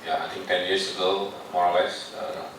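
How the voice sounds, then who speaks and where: A very low-voiced man with an Indonesian accent talks haltingly in English, stopping often on fillers and restarting his sentences. He stands well back from the microphone in a big, strongly echoing indoor room, and a clear hum of noise sits under his voice. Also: little pitch movement. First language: Sundanese. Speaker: speaker addressing a foreign delegation. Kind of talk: informal presentation. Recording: far-off echoey room with noise.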